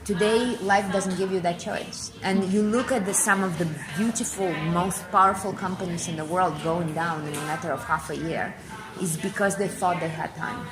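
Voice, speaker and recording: Low-voiced emphatic woman from Kazakhstan. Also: normal speed, over music, rough recording. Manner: fluent and emphatic, repeats phrases for effect. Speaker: interview guest, business speaker. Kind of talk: thoughtful interview answers.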